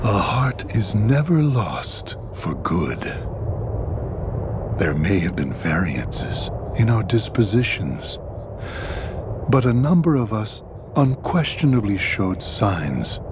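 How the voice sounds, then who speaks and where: An actor playing a grave, scholarly older villain who speaks with pompous, measured formality in a video game cutscene. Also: male voice, fairly low pitch, very animated, noisy background. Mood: solemn, calculating. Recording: loud ambient game sound behind voice.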